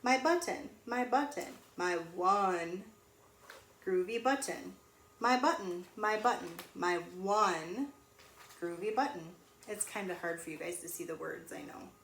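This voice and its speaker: Lady teacher doing a kids storybook read aloud, really slow and sing-songy, echoey room w a bit of noise. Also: fairly low pitch, fairly bright tone. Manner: sing-song, pausing to ask children questions. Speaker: teacher reading aloud to young pupils.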